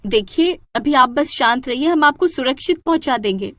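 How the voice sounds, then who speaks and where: A woman's voice: high-pitched, expressive, normal speed, fairly bright tone, no echo, voice pushed up. A woman playing a worried, dutiful air hostess in a cartoon story, speaking in an earnest, urgent and pleading way. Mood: anxious, reassuring.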